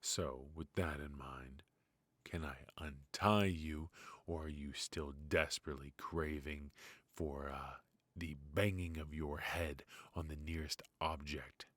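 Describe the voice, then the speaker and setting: A man playing a ruthless but protective mafia boss in an intimate noir roleplay, murmuring close to the mic with hesitant pauses and quiet menace. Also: rumbling low, steady tone, no room sound, full character voice. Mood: coaxing concern. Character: brilliant, ruthless male mafia boss, possessive yet protective. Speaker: voice actor. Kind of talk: noir ASMR roleplay, intimate dramatic monologue. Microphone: close studio mic, dry.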